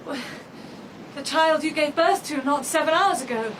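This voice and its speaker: A frightened, protective sister, played by a woman in a radio play. Her high voice pleads earnestly and urgently, with clear ambience noise behind. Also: moderate pace, lightly acted. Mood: urgent, pleading. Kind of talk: radio drama acting.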